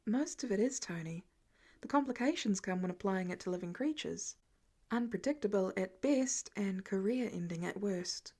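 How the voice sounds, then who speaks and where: Woman voicing a mild, measured male scientist. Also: lively intonation, mellow, lightly acted. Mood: quietly serious. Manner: measured, low-key. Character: mild, thoughtful adult male research scientist.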